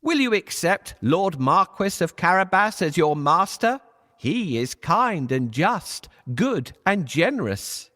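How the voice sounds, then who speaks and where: A man's voice: high voice, sing-song, takes it slowly, bright, forceful tone, voice pushed up, heavily acted. A man voicing a bold, cunning talking cat in a children's story, with theatrical, persuasive, emphatic delivery. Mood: proud announcing.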